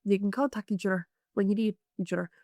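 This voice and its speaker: An Irish woman in her young adult years chats as a guest, loose and filler-heavy, quick to laugh. Her voice sits at a middling pitch and the sound is dry and close. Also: fairly even intonation, warm tone, no echo. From Ireland. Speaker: guest stonemason. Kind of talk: casual interview chat. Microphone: remote call.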